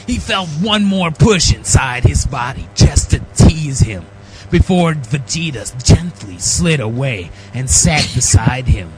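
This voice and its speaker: High-pitched man narrating slowly and theatrically. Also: expressive, soft background music. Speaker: reader, narrator. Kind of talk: mock-dramatic storybook-style narration of fan fiction.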